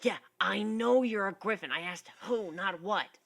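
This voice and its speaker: A man's voice: high-pitched, a little slow, vocal fry, pushed and bright, pitched above the performer's own voice, exaggerated voice. A man voicing a brash, irritable young female griffin, snappy and scornful, in a dramatic story reading. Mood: annoyed. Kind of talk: dramatic reading of fan fiction.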